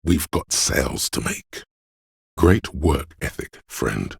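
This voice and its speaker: A man voicing a slick, greedy, cocky hustler in a video game, with smug, goading salesman patter in a gravelly, husky voice. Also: very deep voice, expressive, slow, no room sound, heavily acted. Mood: conspiratorial, urgent. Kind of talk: video game character voice acting.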